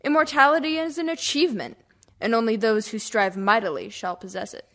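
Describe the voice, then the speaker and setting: A woman's voice: a little high, fairly quick, pushed and bright, a little hiss or hum. Earnest, declaiming village freethinker played by a woman. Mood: earnest, exhorting. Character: dead village atheist, contentious debater turned earnest seeker. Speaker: actor in a community theatre radio play. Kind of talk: declamatory verse monologue.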